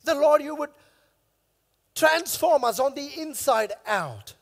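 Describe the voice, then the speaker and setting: A man in his thirties, a pastor with a hoarse, worn voice, preaching emphatically on a church stage into a headset mic, repeating key phrases. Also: very high voice, animated, slow pace, in a large hall. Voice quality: coughing now and then. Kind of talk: sermon. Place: large church stage with long reverb.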